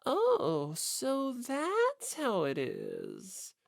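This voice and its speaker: A woman's voice: on the high side, wildly swooping pitch, very slow, vocal fry, dry recording, clean studio sound, voice pushed up, exaggerated voice. A woman voicing a vain, brash teenage fashion-model schoolgirl who teases and scoffs in a cutesy, scornful way. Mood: suspicious. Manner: teasing, cutesy then scornful.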